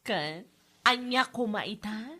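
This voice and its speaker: A woman's voice: medium pitch, animated, slow, bright, forceful tone, exaggerated voice. A woman with an Ilocano accent playing a cheeky, boastful, unapologetic wife in a comedy drama, smug and drawling.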